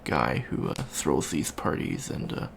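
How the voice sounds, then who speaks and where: A man playing an awkward, bookish young alien college student in an ASMR roleplay, talking softly and hesitantly with many ums and stammers. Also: rumbling low, unhurried, vocal fry, slight background noise, full character voice. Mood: awkward, earnest. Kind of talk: soft-spoken ASMR roleplay.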